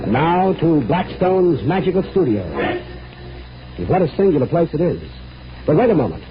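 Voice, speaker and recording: An actor playing a genial, curious radio announcer, theatrical and cordial in vintage radio style. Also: male voice, fairly high pitch, big pitch swings, normal speed, music in the background. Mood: theatrical, inviting. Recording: muffled old radio recording, faint noise.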